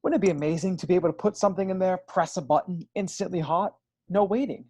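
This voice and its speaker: A woman playing an awkward, eager young would-be inventor in a comedy play table read, naive and blunt, with a husky, hesitant delivery. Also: lowish voice, quick pace, dark tone. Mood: eager, secretive.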